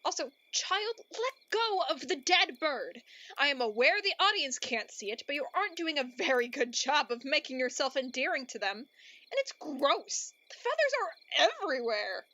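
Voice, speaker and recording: A woman's voice: high voice, very animated, moderate pace, bright, forceful tone, muffled recording, exaggerated voice. A woman playing an exasperated, gloomy storybook narrator in a radio play, theatrical and stern. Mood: stern, huffy.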